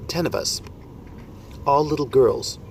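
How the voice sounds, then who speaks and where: A man's voice: medium pitch, a little slow, creaky, pitched above the performer's own voice. A man voicing a plucky young orphan girl who answers plainly and matter-of-factly. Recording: steady background noise.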